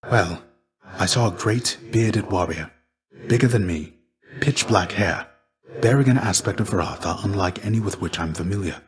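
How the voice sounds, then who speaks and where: An actor playing the ghost of a fallen Greek warrior in a video game, a gentle, fatherly mentor with measured, formal, old-fashioned phrasing. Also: deep voice, close to an everyday voice. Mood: solemn. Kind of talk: video game character dialogue.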